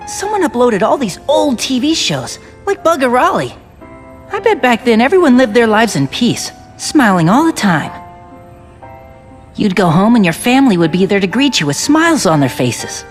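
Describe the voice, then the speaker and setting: A woman's voice: fairly high pitch, big pitch swings, moderate pace, soft background music. A woman voicing a cheerful, boyish young soldier in a video game, talking in an earnest, informal, chatty way. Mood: chatty, wistful. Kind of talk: English video game voice acting.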